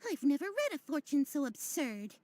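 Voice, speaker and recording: A woman's voice: high-pitched, very animated, mellow, no room sound. A woman voicing a theatrical female fortune-teller in a video game, grandiose and pompous, with archaic phrasing. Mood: baffled, flustered. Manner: archaic, pompous, drawn-out delivery. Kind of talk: animated video game voice acting. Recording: background music under the voice.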